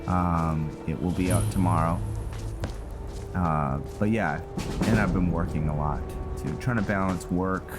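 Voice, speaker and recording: Slow, deep-voiced man over music. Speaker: gaming video host. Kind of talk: casual roleplay gameplay commentary, sometimes reading in-game text aloud. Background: game music.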